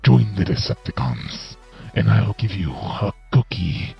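A man voicing a menacing giant evil robot leader through a robotic voice changer, gravelly and muffled. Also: sing-song, measured pace, faint music behind, full character voice. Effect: robotic pitch-lowering voice changer. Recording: dull lo-fi screen capture.

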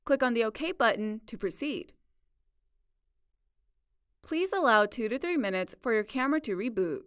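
A woman narrating a scripted software tutorial in a measured, instructional way. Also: fairly high pitch, expressive, no echo, studio quality. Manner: clear, measured instructional delivery. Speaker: support video narrator.

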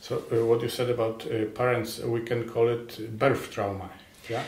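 A man interviewing a guest, asking questions in a halting, hesitant way. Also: medium pitch, little pitch movement, a little mellow, slight background noise. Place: bright studio room, indoors. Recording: roomy studio echo.

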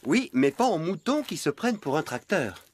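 Animated, exasperated cartoon farmhand. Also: male voice, fairly high pitch, normal speed. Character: excitable, well-meaning, easily exasperated male farmhand. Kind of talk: children's cartoon dub acting. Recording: music bed behind.

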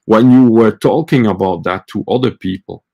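A man's voice: fairly low pitch, moderate pace, gravelly, warm tone, slightly rough. A man in his forties with a Flemish Belgian accent, French his first language, hosting a casual live stream and speaking hesitantly with many fillers and restarts, filmed close up in a small room. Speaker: host of a live stream on gardening. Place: small room with bookshelves, indoors.

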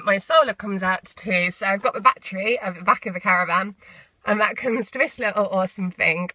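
A woman with a bright, forward voice narrates a casual vlog tour. She talks informally to her viewers and drifts into chatty asides. The sound is dry, caught on a handheld phone. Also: medium pitch, no room sound. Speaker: vlogger showing own off-grid setup. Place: outside by a caravan, then inside it. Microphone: handheld phone behind the camera.

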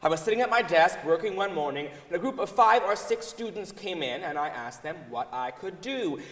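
A man's voice: high-pitched, lively intonation, fairly quick, fairly bright tone. A young man playing an amused woman college warden, telling a story theatrically in a staged reading. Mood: amused, animated. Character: amused college warden recalling her years in office. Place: large indoor concert hall stage. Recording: reverberant concert hall stage recording.

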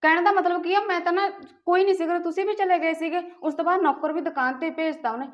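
A woman's voice: very high voice, fairly even intonation, fast, highs cut off. A woman playing a distressed lady of the house in a short film, complaining and pleading with a Punjabi accent. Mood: pleading, agitated. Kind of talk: naturalistic short film dialogue.

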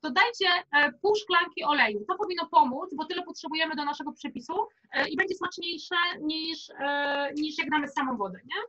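A young woman speaks in a very high, bright voice. She is informal and chatty as she instructs a live cooking class from her home kitchen, encouraging people along as she goes. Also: normal speed, highs cut off. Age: young adult. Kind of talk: casual live cooking workshop.

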